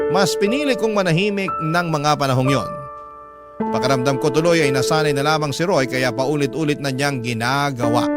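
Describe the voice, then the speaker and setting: A Filipino man tells a personal story as scripted, dramatic narration. His voice is a bit higher than most men's. Loud instrumental music runs under his words. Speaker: storyteller reading a listener's letter.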